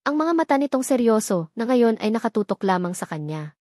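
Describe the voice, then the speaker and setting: A woman narrating a romance story in a steady, dramatic storytelling manner. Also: on the high side, fairly quick, dry recording, clean studio sound.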